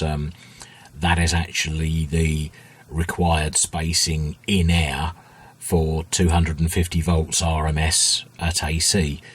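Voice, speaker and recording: A man giving an informal workbench tutorial as a hobbyist restorer, thinking aloud in a hesitant, unhurried way with a husky voice. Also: very deep voice. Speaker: hobbyist radio restorer, video host.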